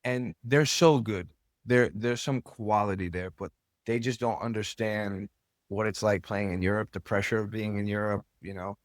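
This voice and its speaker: A man's voice: on the low side, steady tone, moderate pace, dry recording, studio quality. An American man talking candidly and colloquially as a podcast guest, telling stories casually and swearing now and then. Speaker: podcast guest, soccer club owner and coach. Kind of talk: casual storytelling in an interview.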